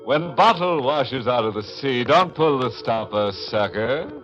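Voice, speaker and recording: An actor playing a ghoulish, mocking host of a 1940s radio horror show, with sardonic, chuckling delivery. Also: male voice, mid-range voice, lively intonation, a bit bright, faint music behind. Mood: mock solemn. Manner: sardonic, chuckling, drawn-out puns. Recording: dull 1940s radio recording.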